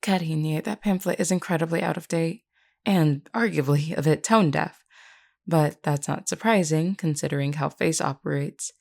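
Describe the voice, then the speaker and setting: Woman acting as a low, creaky, courteous vampire queen. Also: normal speed. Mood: dryly disapproving. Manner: polite, soft-spoken reassurance with hesitant asides. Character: gentle, courteous vampire queen welcoming a newcomer. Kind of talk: intimate audio roleplay acting.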